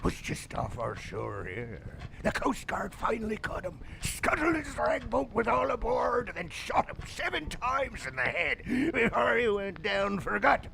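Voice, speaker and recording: A gravelly old drunken sailor, telling ominous yarns in a horror audio drama. His salty, theatrical voice sits fairly high and swings widely in pitch. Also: very animated, measured pace, sharp tone, a little hiss or hum, full character voice, poor audio. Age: older. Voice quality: gravelly, creaky. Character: drunk old Irish-named seaman, grim tavern storyteller.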